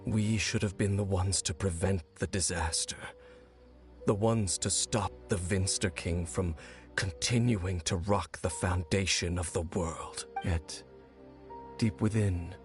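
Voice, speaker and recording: A grave, brooding ancient warrior. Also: male voice. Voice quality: low, measured and solemn. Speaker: game character. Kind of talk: video game voice acting. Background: faint game music.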